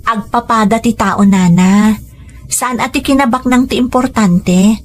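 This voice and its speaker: A woman playing a kind, polite young woman in a radio drama, speaking gently with an Ilocano accent. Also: fairly high pitch, a little slow, faint music behind, natural voice. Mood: earnest.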